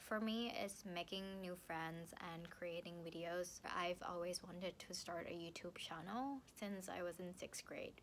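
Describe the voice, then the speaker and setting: A young woman from Thailand with a Thai accent, chatting playfully to the camera from her sofa about books, with lively hand gestures. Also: lowish voice, a little hiss or hum. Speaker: book vlogger. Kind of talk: casual vlog to camera. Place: living room, seated on a sofa. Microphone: camera in front.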